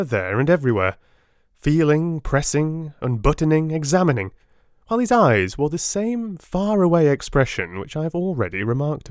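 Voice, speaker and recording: A man narrating a dramatic audiobook with theatrical, deliberate phrasing. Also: fairly high pitch, dry recording, studio quality.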